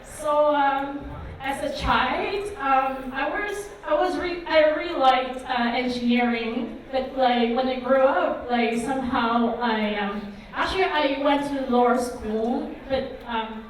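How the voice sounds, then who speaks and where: High-voiced woman in an echoey hall. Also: slow pace, a little hiss or hum. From Vietnam. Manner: hesitant, many ums and likes. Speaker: conference speaker. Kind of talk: conference talk. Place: conference hall. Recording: echoey hall, mic far off.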